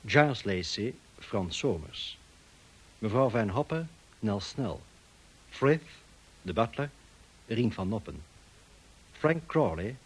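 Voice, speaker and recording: Slow, low-pitched formal male announcer. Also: slightly rough, low-quality recording. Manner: deliberate, formal. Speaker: radio announcer. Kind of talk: formal scripted credits reading.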